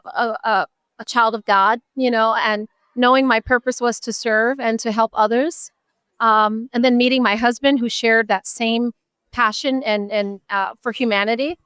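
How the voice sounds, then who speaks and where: A woman's voice: fairly high pitch, steady tone, normal speed, a bit bright, clean tone, dry recording. A middle-aged woman with an American accent speaking earnestly and emphatically as a guest in a conversational interview. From Buffalo, New York, USA. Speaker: interview guest, nonprofit advocate.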